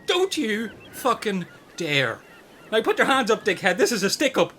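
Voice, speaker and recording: A woman's voice: medium pitch, sing-song, moderate pace, a bit bright, a little hiss or hum. A woman acting an aggressive, impatient castaway robber in a comedic radio play, blunt and sweary. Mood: threatening.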